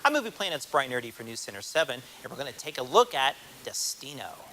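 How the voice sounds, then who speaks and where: A man's voice: mid-range voice, big pitch swings, fast talker, sharp tone, slight background noise, poor audio. An American man presenting scripted film segments as a TV reporter, with a polished promotional delivery. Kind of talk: scripted broadcast narration. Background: music.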